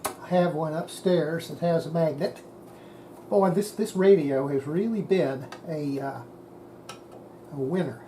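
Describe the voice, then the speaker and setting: A woman's voice: low-pitched, a little slow, pauses often, dark tone, slight background noise, low-quality recording. A woman hosting a repair video, talking casually to herself as she works, exasperated and mildly swearing. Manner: exasperated, mutters to self, mild swearing. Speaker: host of a radio repair video. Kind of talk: casual talk while working at a repair bench.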